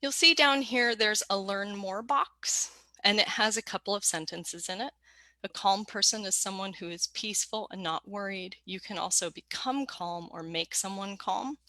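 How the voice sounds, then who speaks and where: Bright, upbeat woman explaining clearly. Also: mid-range voice, normal speed, no echo. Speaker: dictionary presenter. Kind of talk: explanatory screen walkthrough.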